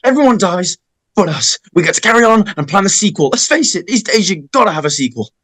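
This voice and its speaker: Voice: mid-range voice, very animated, fast talker, a bit bright, no room sound. Someone doing a goofy gleeful young killer dude, voice jumping all over, close dry sound, horror table read. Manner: taunting, theatrical delivery. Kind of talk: dramatic script table read.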